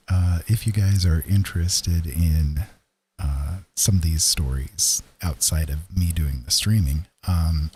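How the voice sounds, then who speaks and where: A man chatting casually with live stream viewers in an easygoing, rambling way, halting with frequent pauses. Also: deep voice, mellow, breathy edge. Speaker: live streamer, digital painter and storyteller.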